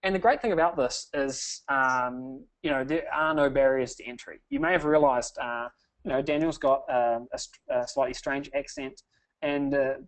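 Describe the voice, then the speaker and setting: A man's voice: mid-range voice, normal speed, rough recording. A man hosting a sales webinar, talking in an upbeat salesy way and often tagging "okay?". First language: English. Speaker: webinar host.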